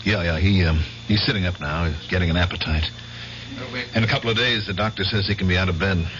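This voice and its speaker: A muffled old radio recording with heavy noise carries an actor as a guilt-ridden veteran near forty. His voice is lowish and earnest, with a hesitant, troubled delivery in radio-drama style. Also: quick pace, close to an everyday voice. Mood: uneasy. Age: 30s. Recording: muffled, noisy radio broadcast recording, humming.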